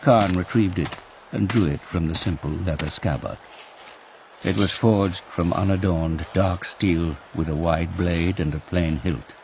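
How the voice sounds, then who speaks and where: A man narrating a dark war story as a grave storyteller in an audio drama. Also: low voice, measured pace, slight background noise. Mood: grave, dramatic.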